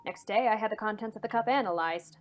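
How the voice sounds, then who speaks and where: A woman's voice: medium pitch, fast, soft background music, close to an everyday voice. A woman acting a nervous, hesitant man in an old-time radio mystery, stammering as the character confides. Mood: troubled, confiding. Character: anxious man confiding a suspected family murder.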